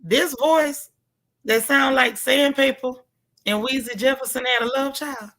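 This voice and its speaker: A woman hosting a casual live recap chat, with a loud, gravelly, hoarse voice, greeting viewers by name with folksy slang. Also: high-pitched, unhurried. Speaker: livestream host.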